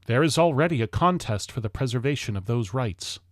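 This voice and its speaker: A man reading a historical speech aloud as formal oratory, solemn and declamatory, with deliberate pauses between measured phrases. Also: medium pitch, expressive, no room sound, studio quality.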